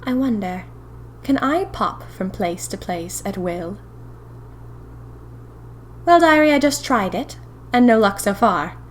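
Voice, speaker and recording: A woman's voice: medium pitch, expressive, takes it slowly, long pauses, a little hiss or hum. A woman playing a young 1920s typist who confides eerie happenings to her diary in a chatty, dramatic, wry way. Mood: musing, curious. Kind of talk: first-person audio fiction diary narration.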